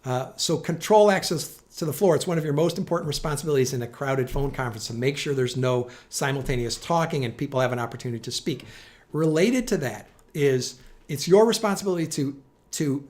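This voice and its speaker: Guy, kinda higher pitched voice, explainer style, plain-spoken and organized, leans on the key points. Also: brisk, darkish tone. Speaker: presenter giving communication tips. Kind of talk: instructional explainer to camera.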